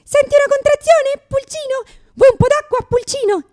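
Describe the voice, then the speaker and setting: An actress voicing a scatterbrained eighteen-year-old trainee midwife with a sugary, cooing manner in a radio monologue. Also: female voice, extremely high pitch, moderate pace, dark tone, pitched above the performer's own voice. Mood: cloying.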